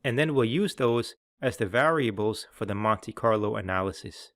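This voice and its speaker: A man presenting a scripted software tutorial, explaining in a clear, orderly way. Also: fairly low pitch, lively intonation, normal speed, no echo. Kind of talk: scripted instructional narration.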